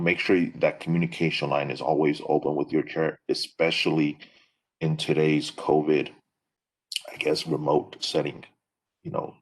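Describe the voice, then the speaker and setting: Very deep, husky-voiced man. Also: rough recording. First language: not English. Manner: measured, with many fillers. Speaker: faculty panelist. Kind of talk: advice on a webinar panel.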